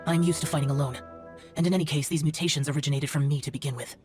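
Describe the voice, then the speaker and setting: A woman's voice: deep voice, steady tone, very fast, a little mellow, lightly acted. A woman playing an aloof male immortal yaksha who hunts demons, speaking formally and tersely in video game dialogue. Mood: solemn, aloof. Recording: music behind the voice. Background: game music.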